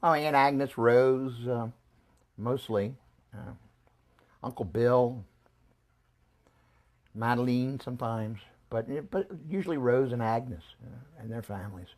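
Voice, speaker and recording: An older man being interviewed about his family, talking in a halting, casual way with frequent restarts and chuckles, his voice growing hoarse. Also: mid-range voice, a little mellow. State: losing his voice. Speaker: interviewee recalling family history.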